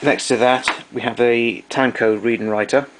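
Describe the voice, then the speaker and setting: A man's voice: mid-range voice, fairly even intonation, normal speed, noisy background. A man giving an informal technical demonstration of equipment, explaining things methodically.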